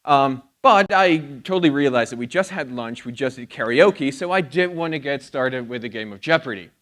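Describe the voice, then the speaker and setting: A man's voice: medium pitch, lively intonation, normal speed. A man in his thirties from outside the US, giving a chatty conference talk on a theater stage with a lapel mic. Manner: loud, chatty, self-deprecating. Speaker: conference speaker.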